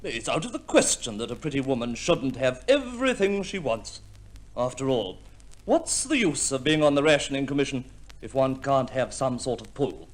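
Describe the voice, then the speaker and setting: An actor playing a flattering gentleman admirer in an old radio play, courtly and fawning. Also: mid-range voice, normal speed, lightly acted. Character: smooth-talking, flattering gentleman admirer with pull. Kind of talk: 1940s-50s radio play acting.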